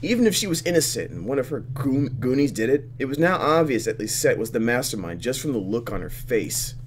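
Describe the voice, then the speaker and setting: Animated man playing a game. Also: mid-range voice, fairly quick, noisy background. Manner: expressive, sarcastic asides between read lines. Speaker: let's player, channel host. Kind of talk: gameplay commentary, reading visual novel text aloud.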